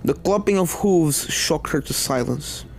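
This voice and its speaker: A man's voice: a little high, lively intonation, measured pace, vocal fry, soft background music. A man reading a horror story aloud as a dramatic narrator.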